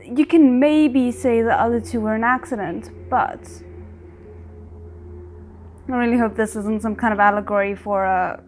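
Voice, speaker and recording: A woman's voice: a little high, normal speed, pauses often, darkish tone, faint music behind. A woman hosting a reaction video, thinking aloud about the story and talking back to the characters on screen. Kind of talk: casual reaction commentary.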